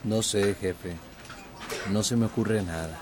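An actor playing a meek but principled employee in a radio drama, hesitant and deferential. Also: male voice, on the low side, slow pace. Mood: uneasy, apologetic.

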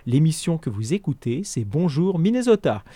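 A man co-hosting a community radio show, giving station announcements in a measured way and adding dry jokes. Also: a little high, big pitch swings, warm tone, slightly rough, dry recording. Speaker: radio co-host.

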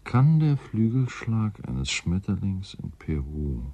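A man's voice: sits low, big pitch swings, slow, warm tone, dry recording, dull audio, exaggerated voice. An actor playing a wry, courteous male scientist in a radio drama, absorbed in his own theories and speaking thoughtfully. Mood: musing. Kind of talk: German radio drama acting, naturalistic dialogue.

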